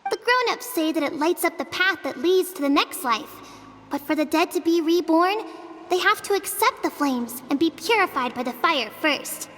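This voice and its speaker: A woman's voice: very high voice, sharp tone, long reverb tail, full character voice. A woman voicing a young girl of a tribe in a game, telling an old legend in an earnest, matter-of-fact way. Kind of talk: game dialogue voice acting.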